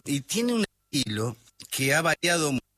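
A man's voice: on the high side, very animated, normal speed, pushed and bright, dry recording. A man from Buenos Aires with a Rioplatense accent, chatting on the radio about music, often hesitating and trailing off. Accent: Rioplatense Spanish. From Buenos Aires, Argentina. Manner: hesitant, trailing off mid-sentence. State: voice not at its best. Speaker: radio co-host presenting music. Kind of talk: casual radio chat.

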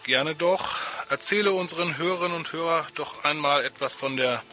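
Ein Mann moderiert als Gastgeber ein Live-Radiointerview in einem Studio. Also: male voice, fairly high pitch, moderate pace, pushed and bright, faint music behind. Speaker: radio host.

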